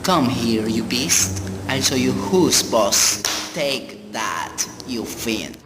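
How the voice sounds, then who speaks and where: A man acting in a radio play as a moody husband who turns into a cruel drunkard, in a theatrical, deliberate delivery. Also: mid-range voice, lively intonation. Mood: menacing. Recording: noisy, long hall-like reverb.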